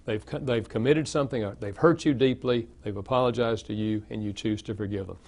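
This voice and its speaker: An older man with a Southern American accent, an author answering interview questions in a steady, explanatory way. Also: lowish voice, fairly quick. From Southern United States. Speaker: author and guest. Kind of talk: interview conversation.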